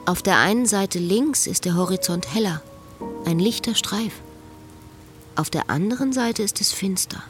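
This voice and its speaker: A woman's voice: mid-range voice, normal speed, music in the background, close to an everyday voice. An actress playing a lovesick, restless woman who speaks her inner thoughts in a radio drama. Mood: dreamy, uneasy.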